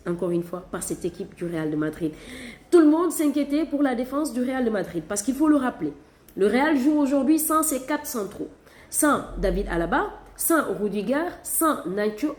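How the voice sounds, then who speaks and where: A woman from Catalonia reviewing football matches in a casual, animated way, stressing points by repeating them. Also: fairly high pitch, echoey room. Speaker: football channel host.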